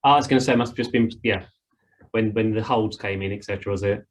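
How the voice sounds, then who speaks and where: A man's voice: fairly low pitch, fast. A man with a British accent hosting an informal online group session in a colloquial, chatty way. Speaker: host of an online ground school session.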